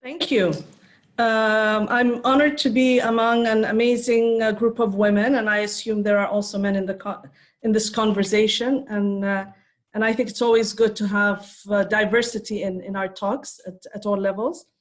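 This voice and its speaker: A woman's voice: medium pitch, steady tone, normal speed. A woman in her fifties from Ethiopia, giving a conversational talk on a panel with frequent fillers. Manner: conversational, many fillers and restarts. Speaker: conference speaker.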